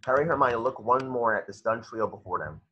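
A man's voice: mid-range voice, expressive, fast, fairly bright tone, husky. A man reading scripted stage directions as narrator of an amateur table read. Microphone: video call. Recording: muffled video-call audio.

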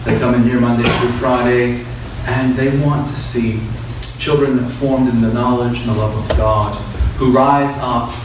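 A man's voice: mid-range voice, fairly even intonation, a little slow, hardly any pauses. A man, a priest, giving courteous, semi-formal announcements to a church congregation. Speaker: officiating priest. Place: church interior, at a lectern. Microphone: distant camera in the pews. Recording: very echoey church, distant, noisy.

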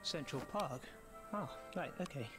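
An actor playing a mild, awkward British wizard who looks after magical creatures, speaking hesitantly and politely in film-style game dialogue. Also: male voice, a little high, animated. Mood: preoccupied, hesitant. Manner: hesitant, halting, politely matter-of-fact. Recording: loud soundtrack music behind.

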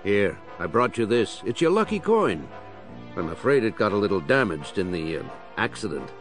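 An actor playing a kindly police commissioner, reassuring and a little hesitant, in a superhero audio drama. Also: male voice, fairly low pitch, big pitch swings, moderate pace, soft background music. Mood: consoling. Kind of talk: full-cast superhero audio drama acting.

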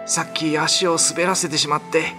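A man's voice: on the high side, flat delivery, normal speed, music in the background, pitched above the performer's own voice, lightly acted. A man playing a pious young male farmer in a radio drama, speaking politely and with heartfelt drama. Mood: pleading.

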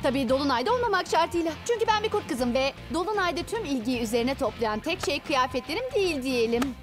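A woman's voice: sits high, expressive, fast talker, music in the background. A woman voicing a confident, chatty teenage werewolf schoolgirl in a cartoon dub. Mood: chatty, friendly. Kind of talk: animated cartoon dubbing.

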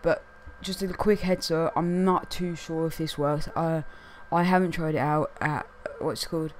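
Young guy with a croaky losing-it voice, kinda high, rambly with loads of "what's it called". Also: fairly even intonation, normal speed, a little hiss or hum, low-quality recording. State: hoarse. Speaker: gaming YouTuber. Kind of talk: casual chat to camera.

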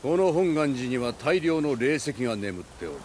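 An actor playing a commanding, ambitious samurai warlord in a dramatic video game, speaking in a stately, archaic, authoritative way. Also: male voice, mid-range voice, sing-song, normal speed, a little mellow, a little hiss or hum, lightly acted. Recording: music behind.

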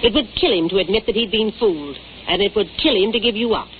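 A woman's voice: mid-range voice, fast, close to an everyday voice. An actress playing a stern, bluntly scolding, no-nonsense woman in a 1940s radio drama. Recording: tinny, hissy 1940s radio recording.

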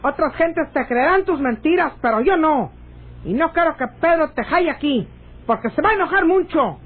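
A woman's voice: high voice, lively intonation, moderate pace. An actress playing a stubborn, mocking rural woman in love, with a Mexican accent and a theatrical, scolding radionovela delivery. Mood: scolding, annoyed. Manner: scolding, scoffing, theatrical, folksy. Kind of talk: radionovela acting. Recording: dull lo-fi old radio drama recording.

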